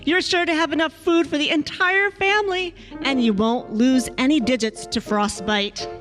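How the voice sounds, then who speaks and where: A woman's voice: sits high, steady tone, moderate pace, close to an everyday voice. A woman playing a cheery, helpful announcer reading a spoof advert in old-time radio style. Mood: cheery, selling. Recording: prominent music under the voice.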